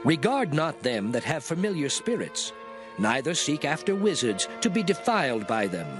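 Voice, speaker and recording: An actor playing God as a solemn lawgiver in a dramatized scripture reading, speaking in a measured, declamatory manner. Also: male voice, fairly high pitch, very animated, over music. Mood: solemn, commanding.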